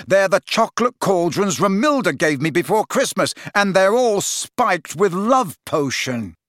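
A man's voice: a little high, very animated, measured pace, fairly bright tone, no echo, studio quality, voice pushed up. A man voicing a sensible, exasperated teenage boy in an audiobook, matter-of-fact and impatient. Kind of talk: audiobook character voice.

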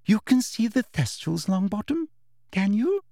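A man plays a condescending older woman official in an audiobook, high-pitched and sickly sweet. She stretches out each word with slow, ringing precision, as if to someone stupid. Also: very animated, creaky, no room sound, clean studio sound, heavily acted. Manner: ringing, loud, slow, over-enunciated delivery.

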